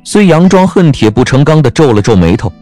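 A man narrating a scripted story in a wry, expressive manner. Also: mid-range voice, a little fast, faint music behind.

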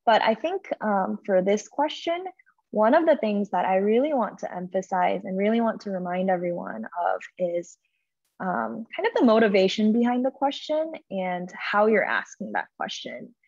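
A young woman talks as a panelist in an online webinar. She explains her points earnestly and reflectively at a medium pitch. The video-call sound is dull, with very little room echo. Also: animated, moderate pace, creaky, a little mellow.

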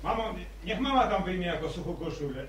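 An actor playing a blunt, grumbling grown farmer son, in a vintage radio drama. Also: male voice, high voice, lively intonation, fairly quick, recorded from a distance, slight background noise. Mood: gruff. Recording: echoey old radio recording, off-mic.

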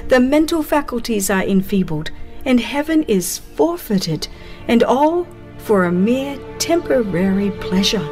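Expressive, unhurried woman audiobook narrator. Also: on the high side. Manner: solemn, expressive, formal reading. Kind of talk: dramatized scripted narration of a religious book.

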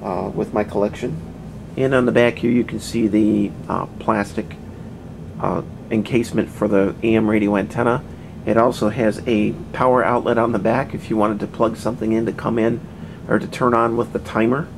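Man, gravelly and lowish, folksy rambling collector; camera mic, faint hum behind. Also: darkish tone. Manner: rambling, many ums and uhs, folksy. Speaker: vintage radio collector, channel host. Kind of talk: casual show-and-tell narration. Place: indoor room with bookshelves, off camera.

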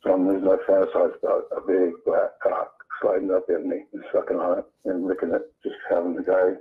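A man with a low voice makes prank calls to live shows. He comes through a thin, narrow telephone line. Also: monotone, fairly quick. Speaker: prank caller. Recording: tinny phone line.

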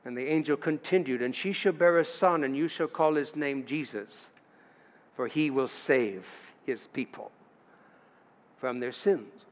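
A man's voice: mid-range voice, a little slow. An older man, a pastor, delivering a sermon at a lectern in a church sanctuary, reading scripture aloud and adding storytelling asides. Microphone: lectern mic. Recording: church livestream with long reverb.

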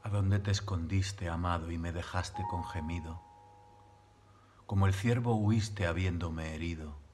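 Low-voiced man reciting poetry over music. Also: a little slow, a little mellow. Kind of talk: solemn recitation of classical mystical verse.

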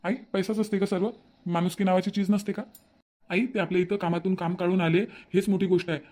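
A man's voice: high-pitched, flat delivery, fast talker, a little mellow, natural voice. A man voicing a principled, earnest son in a cartoon family drama, with a Varhadi Marathi accent. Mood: reproachful. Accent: Varhadi (Vidarbha) Marathi.

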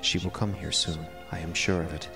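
Guy acting as a melodramatic prisoner cat, low voice, fancy formal wording, audio drama, music behind, bit far from mic. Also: fairly even intonation, a little fast, creaky. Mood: dread. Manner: formal, florid, theatrical diction. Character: eloquent, melodramatic male cat pleading as a captive prisoner. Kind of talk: dramatic first-person audio drama monologue.

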